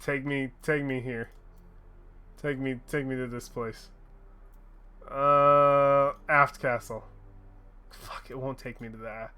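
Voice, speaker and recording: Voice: medium pitch, fairly even intonation, slow, pauses often, bright, forceful tone, clean tone. A streamer chatting casually while playing a game, swearing freely and laughing at their own mistakes. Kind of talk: casual gaming livestream chat.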